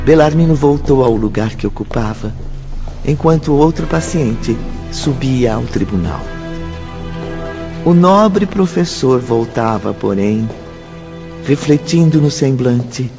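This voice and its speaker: A man narrating a radio drama from a script in a formal, measured, dramatic way. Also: mid-range voice, vocal fry, mellow. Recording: dull lo-fi audio, music bed.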